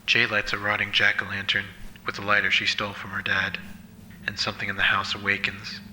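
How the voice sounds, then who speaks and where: Man acting as solemn horror narrator, very monotone, low voice, measured storytelling, faint noise. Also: low-quality recording. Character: solemn male narrator of a Halloween horror tale.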